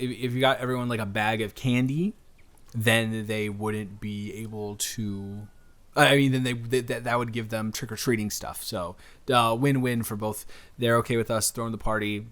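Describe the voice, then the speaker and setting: A man's voice: fairly low pitch, steady tone, normal speed, fairly bright tone, lightly acted. A man improvising an audio roleplay as a friendly, slightly awkward young stranger, talking casually and earnestly to the listener with stammered restarts. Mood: eager, rambling.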